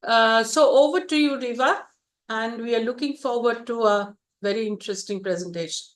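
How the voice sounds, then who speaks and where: A woman chairing a seminar on a video call, introducing the speaker in slow, measured academic phrasing. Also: on the high side.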